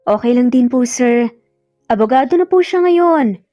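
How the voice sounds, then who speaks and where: A woman's voice: high voice, animated, unhurried, warm tone, dry recording, dull audio, soft background music. A Filipino woman voicing a shy, polite young female secretary in a romance story, hesitant and guarded. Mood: polite, nervous.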